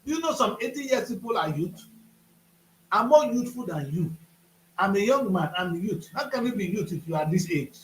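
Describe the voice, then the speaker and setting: A man's voice: high voice, lively intonation, normal speed, fairly bright tone, echoey room, slight background noise, poor audio. A man, hosting a studio talk show and lecturing with rhetorical questions. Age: 50s. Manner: preachy, rhetorical questions, emphatic.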